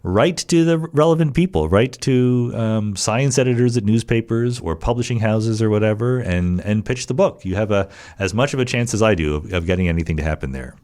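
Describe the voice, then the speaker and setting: Man, middle-aged, podcast host, low voice, lively intonation, casual with ums and restarts. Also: fairly quick, few pauses. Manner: conversational with fillers and restarts, reads questions aloud. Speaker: podcast host answering listener questions. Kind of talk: solo Q&A podcast, thinking aloud.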